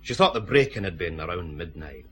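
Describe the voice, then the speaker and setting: An actor playing a middle-aged Scottish detective inspector in a radio play, a dry-witted, grumbling bachelor. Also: male voice, low voice, fairly quick. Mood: matter-of-fact. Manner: grumpy, deadpan, homely. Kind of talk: radio detective drama acting.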